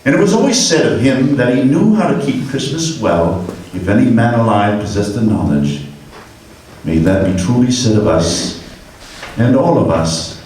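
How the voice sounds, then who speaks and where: A man's voice: medium pitch, expressive, normal speed, darkish tone, slight background noise. A man narrating a classic story and addressing the audience as producer of a radio broadcast. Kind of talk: scripted narration. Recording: echoey room, far from the mic.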